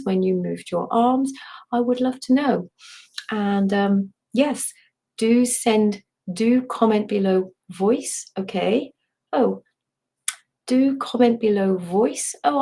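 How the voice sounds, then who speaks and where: A woman with a hoarse, partly lost voice, gently guiding a live online voice and breathing workshop, meandering with restarts and asides. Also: medium pitch. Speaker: somatic voice and movement teacher.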